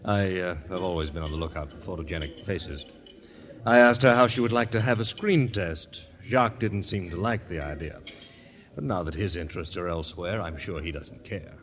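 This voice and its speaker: An actor playing a polite, talkative, affable but slightly evasive gentleman in a 1940s radio drama, given to careful explanation. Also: deep voice, normal speed. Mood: evasive, hesitant. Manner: polite, explanatory, hesitant when questioned. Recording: noisy vintage radio recording.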